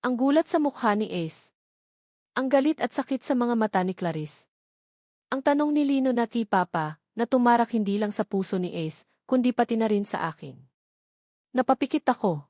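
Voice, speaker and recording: A woman's voice: on the high side, lively intonation, moderate pace, pauses often, darkish tone, dry recording, studio quality. A woman reads a dramatic first-person story as a scripted narrator.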